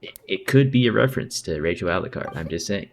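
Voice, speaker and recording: Very low-pitched woman streaming games. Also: lively intonation, fast talker, vocal fry, background noise. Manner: slangy, joking, profane. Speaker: game streamer. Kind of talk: casual livestream commentary over gameplay. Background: faint game music.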